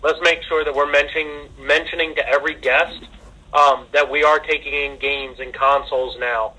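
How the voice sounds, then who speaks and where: A man's voice: fairly high pitch, level tone, normal speed, bright, forceful tone, breathy edge. A man giving an informal work briefing as a manager, heard over a tinny conference phone line. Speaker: retail manager leading a staff conference call.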